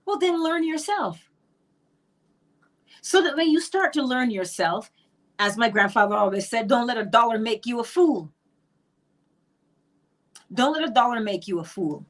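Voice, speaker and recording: A woman's voice: on the high side, measured pace, pauses often. A middle-aged woman from Barbados with a Barbadian accent, teaching about spiritual law in an emphatic monologue full of rhetorical questions. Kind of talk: monologue on manifestation.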